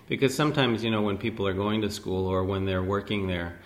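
Halting, low-voiced male interviewer. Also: a little hiss or hum. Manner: rambling, halting questions with many restarts. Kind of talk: oral history interview.

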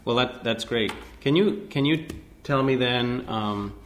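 A man's voice: mid-range voice, unhurried, a bit bright, a little hiss or hum. A man interviewing someone for an oral history, asking long, rambling questions full of restarts.

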